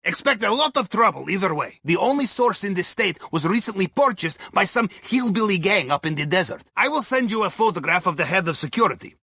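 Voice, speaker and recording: An actor playing a wry, upbeat heist planner in a video game, with a Russian accent, a husky voice and folksy, article-dropping speech. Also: male voice, high-pitched, expressive, brisk, few pauses, bright, forceful tone, no echo, exaggerated voice. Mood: wry, businesslike. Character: wry, upbeat male submarine captain's sidekick and heist planner. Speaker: mission guide. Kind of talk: scripted video game voice lines.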